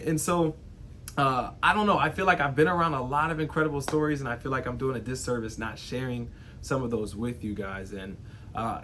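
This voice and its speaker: A young man with a fairly high voice speaks earnestly and with feeling, leaning on "you know" and "right" as he hosts. A faint rumble sits under the recording. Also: a bit bright. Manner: heartfelt, emphatic, many fillers like "you know" and "right". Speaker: host. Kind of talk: earnest motivational monologue.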